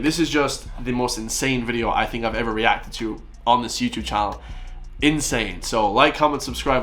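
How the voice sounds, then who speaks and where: Casting note: male reaction host, mid-pitched, emphatic and blunt. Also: a bit bright. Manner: blunt, emphatic, repeats himself, laughs often. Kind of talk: reaction commentary.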